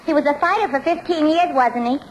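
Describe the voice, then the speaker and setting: A woman playing a vain, shrewd, tight-fisted woman, giving deadpan comebacks in a 1940s radio comedy. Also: high-pitched, fairly quick, close to an everyday voice. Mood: skeptical. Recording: noisy old radio broadcast, studio audience.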